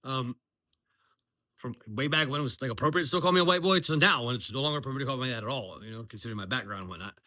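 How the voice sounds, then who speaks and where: Guy almost 50, talks fast, edgy sharp voice, blunt n swears a lot, lots of ums. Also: mid-range voice. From Virginia, US. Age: 40s. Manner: blunt, profane, rambling with many ums. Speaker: military veteran vlogger. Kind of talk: unscripted monologue.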